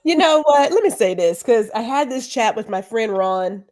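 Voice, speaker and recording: Podcast co-host, woman, fairly high voice, animated and emphatic delivery. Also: normal speed. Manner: animated, emphatic storytelling with rhetorical questions. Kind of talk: casual conversation.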